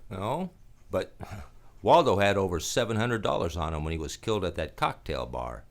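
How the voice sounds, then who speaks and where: A man acts out a cynical gumshoe in a noir radio play. His voice sits fairly low but moves in lively swings, tossing off slangy, sardonic wisecracks. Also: moderate pace. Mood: sardonic negotiating. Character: wisecracking, cynical hard-boiled male private detective.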